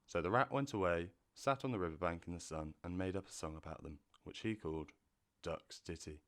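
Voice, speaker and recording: A man narrating a storybook in a measured, gentle way. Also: low-pitched.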